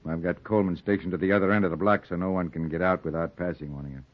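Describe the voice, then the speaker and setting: Deep, fast-talking police sergeant played by an actor. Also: male voice, steady tone, natural voice. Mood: businesslike. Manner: clipped, authoritative. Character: brisk, commanding male police sergeant. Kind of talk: 1940s radio drama acting. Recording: muffled vintage radio recording.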